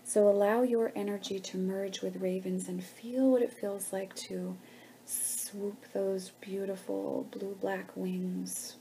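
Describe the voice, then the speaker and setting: A woman leading a guided meditation in a gentle, hushed, soothing manner with pauses between phrases. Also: mid-range voice, a little hiss or hum, rough recording.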